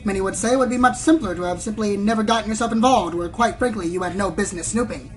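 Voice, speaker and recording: Woman voicing a cartoon pony newswoman, medium pitch, theatrical, pompous, insinuating, radio drama, music behind. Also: fast talker, lightly acted. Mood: smug, challenging. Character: sensationalist female pony news reporter, probing and smug. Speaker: interviewer. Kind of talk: radio play acting, theatrical interview.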